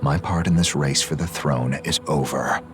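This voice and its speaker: An actor playing a severe, brooding middle-aged man in a video game, speaking in a solemn, measured and dramatic way. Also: a bass voice. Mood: weary resignation. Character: brooding, severe older male ideologue, cold and resolute. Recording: dramatic music behind the voice.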